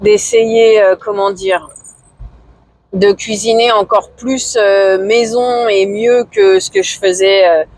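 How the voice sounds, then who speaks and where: Slow, hesitant woman vlogger in her forties. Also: steady tone, a little mellow, low-quality recording. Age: 40s. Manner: hesitant, many fillers and pauses; low-key, somewhat discouraged. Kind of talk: casual chat to camera.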